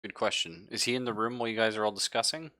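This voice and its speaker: Low, bright-voiced man running a game. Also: steady tone, quick pace. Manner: easygoing, encouraging. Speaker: game master. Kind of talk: casual live tabletop roleplaying session.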